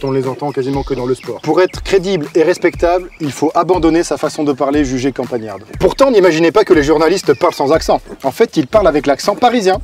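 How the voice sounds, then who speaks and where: Brisk, quick-talking male show host. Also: on the high side, a little mellow. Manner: brisk, teasing. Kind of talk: scripted narration and casual chat.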